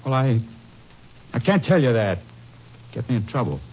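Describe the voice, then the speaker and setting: Stammering anxious soldier; animated pitch, dull old radio sound. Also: male voice, moderate pace. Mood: nervous. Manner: stammering, nervous, defensive. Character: nervous young male soldier, evasive and anxious. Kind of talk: 1950s radio drama acting. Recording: muffled old radio broadcast with faint hiss.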